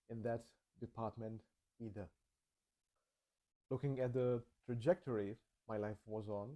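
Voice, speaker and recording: Slow, mellow-voiced man. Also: medium pitch. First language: Czech. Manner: slow, measured, thoughtful delivery. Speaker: channel host. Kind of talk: reflective personal narration.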